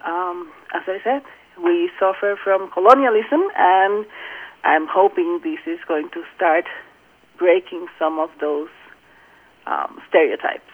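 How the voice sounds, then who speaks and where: A middle-aged woman from Rico, speaking in an earnest, measured way with thoughtful pauses as a guest in an interview. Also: fairly low pitch. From Puerto Rico. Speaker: language and accent consultant. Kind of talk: phone interview. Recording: tinny phone line.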